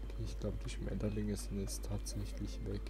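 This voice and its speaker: A man's voice: fairly low pitch, completely flat delivery, normal speed. A man giving casual, informal let's play commentary, often muttering to himself. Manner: informal, muttering self-talk. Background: game music.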